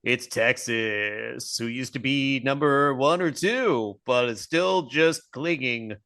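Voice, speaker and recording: A man's voice: mid-range voice, slow pace, pushed and bright. A man co-hosting a podcast, joking wryly in the style of a mock sports report. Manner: wry, joking. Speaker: podcast co-host.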